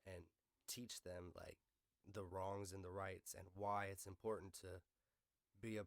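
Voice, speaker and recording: A man's voice: low-pitched, level tone, unhurried, creaky, no room sound, clean studio sound. An American man hosting a podcast in casual, slangy, profanity-sprinkled conversation, giving his opinions in a loose, unscripted way. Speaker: podcast host.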